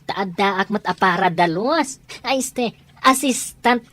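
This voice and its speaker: A woman's voice: mid-range voice, takes it slowly, a bit bright, dry recording. A woman with an Ilocano accent playing a chatty, inquisitive friend who exclaims in amazement in an audio drama. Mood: amazed, curious.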